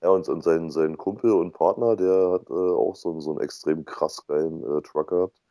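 A man with an extremely low, mellow voice and a German accent, recorded dry and close. He talks casually and nostalgically, like a co-host on a relaxed podcast. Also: fairly quick, no echo.

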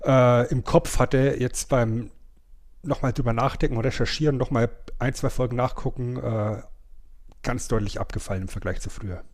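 A man's voice: medium pitch, fairly even intonation, normal speed, a little mellow. A man, chatting casually and playfully as a podcast co-host. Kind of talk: casual nostalgic chat.

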